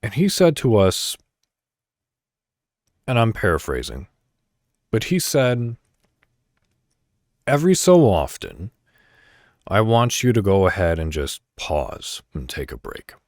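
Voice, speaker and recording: Deep-voiced man with swooping, expressive intonation. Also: slow, long pauses, no room sound, clean studio sound. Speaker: podcast host. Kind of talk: reflective solo monologue addressed to listeners.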